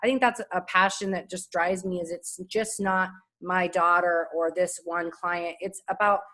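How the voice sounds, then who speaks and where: A woman's voice: on the low side, steady tone, normal speed, pushed and bright. A woman, a speech-language assistant and parent, speaking earnestly and reflectively in a casual conversation.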